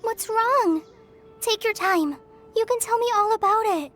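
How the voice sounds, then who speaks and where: A woman's voice: very high voice, a little slow, a bit bright, soft background music. A woman voicing an earnest, caring young girl who is a trainee forest ranger in an anime video game. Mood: concerned. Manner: earnest, reassuring.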